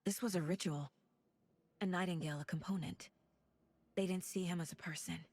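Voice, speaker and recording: An actress playing an intense, probing investigator who thinks through a murder case in brooding, deliberate questions. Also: female voice, on the low side, steady tone, darkish tone, no room sound. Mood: grave, probing. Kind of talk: scripted inner-monologue narration.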